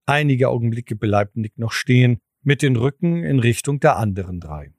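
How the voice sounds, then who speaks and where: Male narrator, scripted audio drama storytelling, mid-pitched, close dry recording. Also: animated, moderate pace, a little mellow, no echo.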